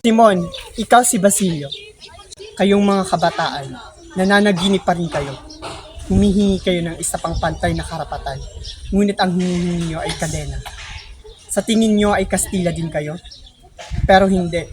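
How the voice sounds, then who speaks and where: A man's voice: high voice, moderate pace, long pauses, background noise, lightly acted. A man playing an earnest, stern young Filipino patriot in an amateur student historical drama. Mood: stern, reproachful.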